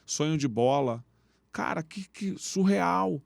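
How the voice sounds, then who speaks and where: A man tells stories in lively street slang, his voice sitting a bit high. The sound is dry, close and plainly miked. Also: slow pace, gravelly, no room sound. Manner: animated storytelling with street slang. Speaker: football coach and guest. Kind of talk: casual interview talk. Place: podcast studio.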